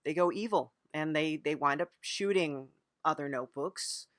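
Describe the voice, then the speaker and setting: A woman's voice: low voice, moderate pace, no room sound, clean studio sound. A woman interviewing on an improvised comedy podcast, playing along earnestly in a hesitant way, often repeating her phrases. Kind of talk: improvised mock-serious interview.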